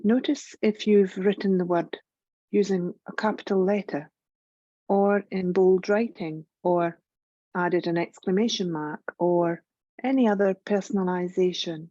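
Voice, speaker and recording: A woman guiding a yoga relaxation meditation in a gentle, soothing, measured way, leaving long pauses. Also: medium pitch, fairly even intonation, no room sound, highs cut off. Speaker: yoga nidra meditation guide.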